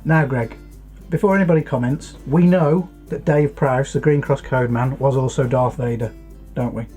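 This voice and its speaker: British male co-host, middle-aged, mellow tone, slightly high pitch, casual chat, music behind. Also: steady tone, moderate pace.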